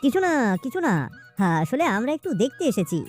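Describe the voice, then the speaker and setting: A woman's voice: sits high, very animated, fast, a little mellow, faint music behind. A woman with a West Bengal accent voicing an earnest, worried village husband in a cartoon, with a plaintive manner. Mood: hurt, protesting.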